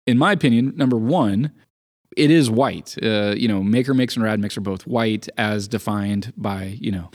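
A man co-hosting a podcast in casual chat, a bit raspy from a bug, explaining things at length with everyday comparisons. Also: fairly low pitch, moderate pace, darkish tone. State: sick with a cough. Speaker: podcast co-host.

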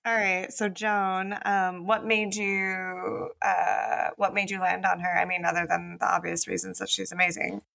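Woman, podcast host, bright tone, chatty, laughs easily. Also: fairly low pitch, little pitch movement, a little slow, creaky, few pauses. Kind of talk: casual podcast interview.

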